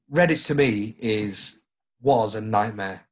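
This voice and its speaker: A man's voice: fairly low pitch, slow pace. A middle-aged man, a guest and former radio DJ, talking reflectively and fondly in casual chat. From Stoke-on-Trent, England. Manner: reflective, fond, calls the listener mate.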